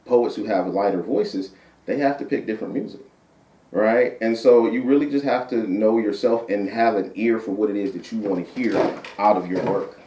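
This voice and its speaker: A man with a deep voice, a guest poet chatting casually in an interview, repeating himself and tagging points with "right?". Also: normal speed, dark tone, a little hiss or hum. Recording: roomy sound from a distant mic.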